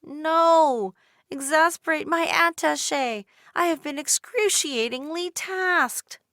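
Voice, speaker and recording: A woman voicing an eager young girl who loves big words, talking in an excited, pleading way. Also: high voice, unhurried, dry recording, studio quality, pitched above the performer's own voice. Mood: frantic.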